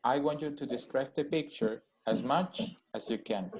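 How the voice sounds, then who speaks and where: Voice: mid-range voice, moderate pace, darkish tone, poor audio. An examiner running a speaking exam with short prompting questions.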